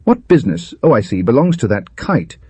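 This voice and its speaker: A man voicing a glum, irritable, easily rattled gentleman who grumbles curtly in a ghost story audiobook. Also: medium pitch, sing-song, brisk, slightly rough, no echo, voice pushed up. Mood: indignant grumbling. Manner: grumbling, curt, indignant.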